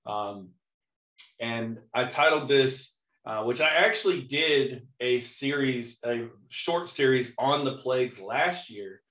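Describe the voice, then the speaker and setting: Hoarse man teaching in a roomy echo. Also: mid-range voice, takes it slowly. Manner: relaxed, chatty, with light laughs. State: hoarse, clearing his throat. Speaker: Bible teacher. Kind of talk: informal sermon teaching.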